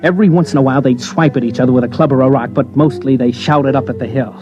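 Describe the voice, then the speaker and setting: A man's voice: medium pitch, fast talker, muffled recording, close to an everyday voice. An actor playing a cynical, wary newsman who narrates a 1950s radio drama in a terse, hard-boiled way. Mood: grim, wry. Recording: old radio recording with music underneath.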